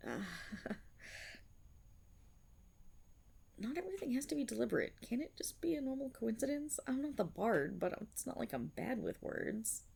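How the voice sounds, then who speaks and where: A woman voicing a timid young half-dragon rogue in an intimate ASMR roleplay, breathy and hesitant, anxious but proud, stammering and rambling. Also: fairly high pitch, sing-song, normal speed, a little mellow, full character voice. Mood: embarrassed, bashful. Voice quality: breathy, often slipping toward a whisper. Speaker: roleplay voice performer.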